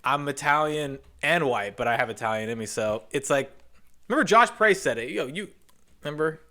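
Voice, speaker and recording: A man's voice: fairly high pitch, lively intonation, brisk, bright, forceful tone. An American man hosting a casual podcast, chatting and teasing with plenty of profanity. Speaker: podcast host. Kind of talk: casual chat, storytelling.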